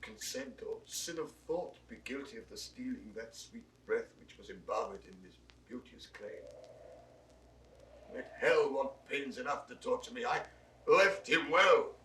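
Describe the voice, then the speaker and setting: An actor playing an earnest, sorrowful accused servant with impassioned 1960s Shakespearean delivery. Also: male voice, medium pitch, lively intonation, measured pace, a bit bright. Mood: anguished, pleading. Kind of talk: 1960s classical Shakespearean recorded acting. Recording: distant, echoey old studio recording, faint noise.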